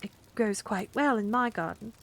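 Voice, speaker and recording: A woman's voice: medium pitch, moderate pace, gravelly, a bit bright. A woman voicing a prim, easily flustered middle-aged witch who snaps when pressed.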